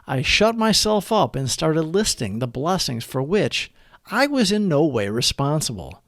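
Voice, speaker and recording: A man narrates scripted devotional readings in an earnest, deliberate way. His voice sits a little higher than average and moves widely up and down in pitch. The sound is very dry, with no room around it. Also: no echo. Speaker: narrator of recovery meditation readings. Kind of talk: scripted devotional read-aloud.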